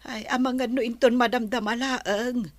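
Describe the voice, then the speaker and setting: A woman voicing a concerned, kindly elderly grandmother with slow, drawn-out speech in an audio drama. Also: fairly high pitch, fairly even intonation, no echo, natural voice.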